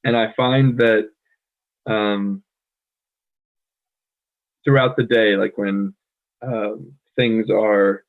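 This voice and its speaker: A man from the United States co-hosting a casual chat, thoughtful and pausing often with "um". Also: lowish voice, fairly even intonation. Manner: thoughtful, many "um" fillers. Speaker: podcast co-host. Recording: tinny phone-like line.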